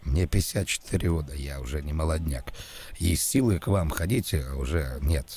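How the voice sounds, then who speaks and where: A man voicing a lecherous elderly college official in a prank call, speaking in a halting, formal, ingratiating way. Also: very deep voice, lively intonation, darkish tone, slightly rough, pitched below the performer's own voice, full character voice. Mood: unctuous, formal. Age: middle-aged, playing 54. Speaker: prank caller.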